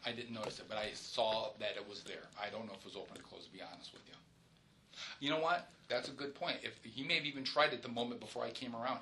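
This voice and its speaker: A middle-aged police officer answering questions in a formal interview, firm and insistent. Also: male voice, mid-range voice, noisy background.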